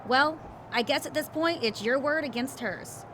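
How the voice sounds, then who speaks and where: A woman's voice: high voice, moderate pace, fairly bright tone, a little hiss or hum. A woman playing a polite, hesitant backstage interviewer in a game.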